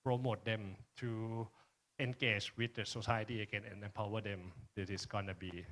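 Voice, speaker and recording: A man from Thailand with a Thai accent, a native Thai speaker, presenting informally at a conference in halting phrases with frequent checking tags. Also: lowish voice, level tone, fairly bright tone. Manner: halting, frequent tag questions "right?". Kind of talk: informal presentation and panel answer.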